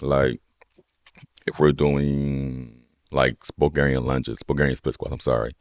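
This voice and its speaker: A young man with a deep voice, a personal trainer chatting casually as a podcast guest, relaxed and rambling with lots of "you know what I'm saying.". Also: unhurried, no room sound, clean studio sound. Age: young adult. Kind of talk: casual conversation.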